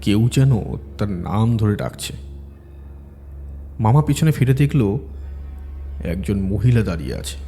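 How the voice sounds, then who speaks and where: Brief: male horror narrator, low and mellow, dramatic and suspenseful. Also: animated, measured pace, pauses often, long reverb tail, faint music behind. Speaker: storyteller narrator.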